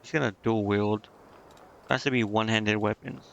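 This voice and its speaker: A man's voice: on the low side, steady tone, normal speed, creaky, a bit bright, highs cut off, a little hiss or hum. A man giving casual live gameplay commentary, thinking aloud to himself in a hesitant, muttering way. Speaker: game streamer.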